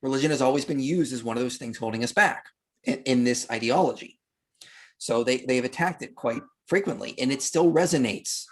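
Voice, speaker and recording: A man's voice: medium pitch, brisk. A man speaking as a podcast guest, explaining history in a casual, argumentative way with emphatic, dismissive opinions.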